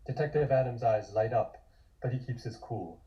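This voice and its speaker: A man's voice: medium pitch, moderate pace, highs cut off. A man reading scripted scene descriptions as the narrator of a table read.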